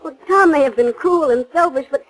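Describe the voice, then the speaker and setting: A woman's voice: sits high, brisk, close to an everyday voice, rough recording. An actress playing a reserved, subdued working woman who answers tersely, in 1940s radio drama style. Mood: guarded, somber. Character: guarded, subdued working woman, switchboard operator. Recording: remastered 1940s radio recording.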